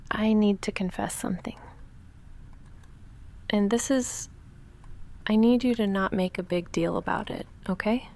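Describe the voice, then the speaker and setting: An awkward young goth vampire girl, played by a woman in an intimate ASMR roleplay. She murmurs slowly with heavy vocal fry, often dropping to a breathy whisper. Her delivery is deadpan and halting, self-conscious but secretly affectionate. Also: lightly acted. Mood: sheepish confessing. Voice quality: soft, breathy whispering mixed with low murmured talk.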